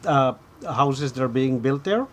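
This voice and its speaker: A man's voice: medium pitch, animated, unhurried, fairly bright tone. A middle-aged man hosting a studio talk show, leading his guest and checking agreement with "right?" and "correct?". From Guyana. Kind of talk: studio interview host. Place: TV studio set, seated at small table.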